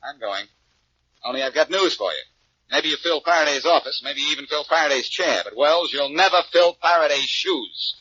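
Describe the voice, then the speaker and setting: An actor playing a cocky, wisecracking amateur detective, breezy and sardonic, in a 1940s radio crime drama. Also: male voice, a little high, expressive, normal speed, pushed and bright, no room sound. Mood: needling. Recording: dull old radio recording.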